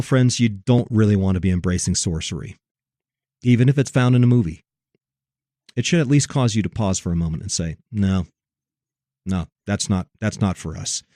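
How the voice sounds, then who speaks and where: A man's voice: medium pitch, dark tone, no echo, clean studio sound. A man giving sermon-like religious commentary and scripture readings, preachy and emphatic, repeating phrases for weight.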